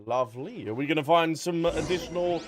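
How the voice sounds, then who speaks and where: A man with a fairly high voice chats through a game stream, playful and teasing. Soft music plays behind him as he comments and reads on-screen text out in a casual way. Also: a little fast.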